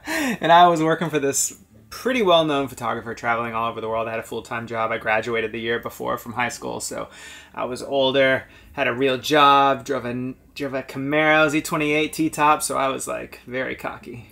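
A man's voice: medium pitch, moderate pace, gravelly, pushed and bright. American man swapping casual stories. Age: 30s. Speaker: travel vlogger. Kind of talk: casual conversational storytelling. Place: small boat cabin, indoors.

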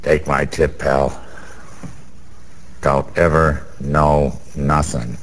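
A middle-aged Broadway gambler in a radio play, slow and gravelly, in a very reverberant space. He brags and wisecracks in boozy, rambling slang, like a chummy know-it-all. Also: a bass voice, little pitch movement, full character voice. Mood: boozy, bragging. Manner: slangy, chuckling, rambling, streetwise. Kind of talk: 1990s radio drama acting. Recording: reverberant hall, faint background noise.

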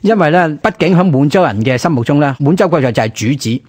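A man's voice: fairly high pitch, animated, quick pace, crowd noise. A male radio co-host with a Hong Kong Cantonese accent, giving conversational commentary on history.

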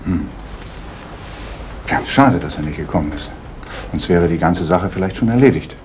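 A deep-voiced probing police inspector. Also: male voice, expressive, normal speed, darkish tone. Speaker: police detective Fuchs. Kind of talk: 1980s East German radio crime drama. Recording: noisy old radio play recording.

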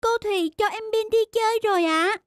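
A woman voicing a gentle, timid, dutiful little girl in a children's cartoon, polite and meek. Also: very high-pitched, fairly quick. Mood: wistful. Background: children's background music.

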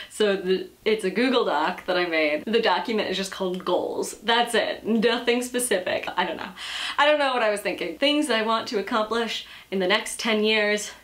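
A woman's voice: mid-range voice, fairly bright tone. Chatty woman around thirty, self-deprecating. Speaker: vlogger and creative entrepreneur. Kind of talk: casual unscripted vlog talk. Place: sunlit room in front of glass doors, indoors.

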